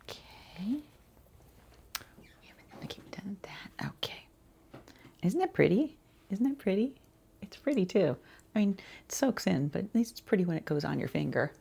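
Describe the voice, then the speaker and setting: Husky, soft-spoken woman doing ASMR. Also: mid-range voice, animated, moderate pace, long pauses. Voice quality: soft, breathy, often slipping into whisper. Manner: casual, rambling, reassuring the client. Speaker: ASMR creator playing a skincare boutique consultant. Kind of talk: quiet-talking ASMR roleplay, chatty consultation.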